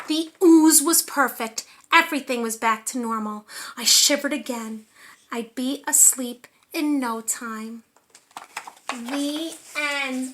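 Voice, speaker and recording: A woman's voice: sits high, bright, forceful tone, slight background noise. A woman reading a picture book aloud expressively, with friendly asides to young children. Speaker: story reader for young children.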